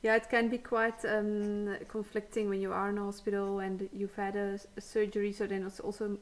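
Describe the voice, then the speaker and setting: A woman's voice: medium pitch, little pitch movement, moderate pace, poor audio. A woman hosting a casual interview in an encouraging, affirming way. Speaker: interview host.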